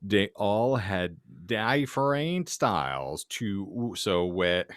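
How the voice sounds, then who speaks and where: A man hosting a podcast, reading bad fan fiction aloud with deadpan, exasperated banter. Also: fairly low pitch, extremely slow, no echo, clean studio sound. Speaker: podcast host.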